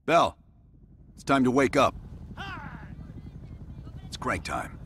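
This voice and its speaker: A man's voice: medium pitch, very animated, slow, a bit bright, a little hiss or hum. An actor playing a cool male CIA team leader with a dry, clipped, sardonic way of talking. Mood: wry. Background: film score.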